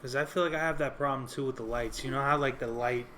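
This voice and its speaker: A man's voice: mid-range voice, steady tone, quick pace, sharp tone. A man co-hosting a podcast in casual chat, chiming in supportively with slang and some swearing. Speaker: podcast co-host. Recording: faint background noise.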